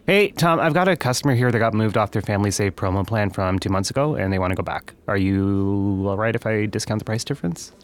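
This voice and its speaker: A man's voice: fairly low pitch, expressive, fast, hardly any pauses, natural voice. Man acting as polite, placating young phone rep. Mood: tentative, persuasive. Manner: hesitant, apologetic, conciliatory. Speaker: customer service representative. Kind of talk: scripted audio comedy drama dialogue.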